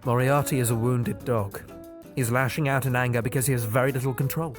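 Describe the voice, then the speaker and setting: A man's voice: mid-range voice, steady tone, fairly quick, music in the background, natural voice. A man playing a brilliant, proud detective in an audio drama, formal, precise and authoritative. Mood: firm. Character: brilliant, proud male detective, urgent and clipped.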